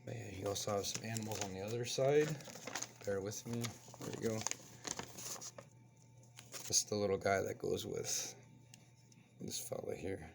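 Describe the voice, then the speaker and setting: A man with a hoarse voice from a cold, hosting a casual toy review and chatting as he unboxes. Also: fairly low pitch, a little slow, long pauses, noisy background. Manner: relaxed, chatty, explains as he handles the toy. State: hoarse, getting over a cold. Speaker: toy reviewer, channel host.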